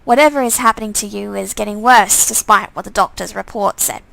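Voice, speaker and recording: A woman's voice: mid-range voice, fairly quick, vocal fry, fairly bright tone, no room sound, low-quality recording. A woman reading a visual novel aloud as a streamer, expressively, with asides and the odd stumble. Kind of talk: live read-aloud narration with asides.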